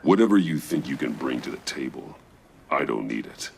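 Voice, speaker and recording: An actor playing a grim, terse masked vigilante detective in a video game, grave and guarded. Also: male voice, a bass voice, expressive, moderate pace, vocal fry, darkish tone, a little hiss or hum, heavily acted. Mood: guarded distrust.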